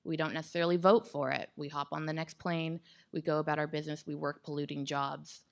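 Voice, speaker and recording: A woman in her thirties speaking in an articulate, measured way as an interview guest on stage. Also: low voice, flat delivery, gravelly. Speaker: guest expert on climate psychology. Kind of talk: thoughtful interview answers on stage. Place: indoor stage with wood-slat backdrop. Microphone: microphone on boom stand.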